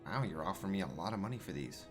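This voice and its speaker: A man's voice: low voice, expressive, rapid-fire. A man role-playing his character in a video game, casually musing aloud and chatting as he goes. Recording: game music behind the voice. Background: game music.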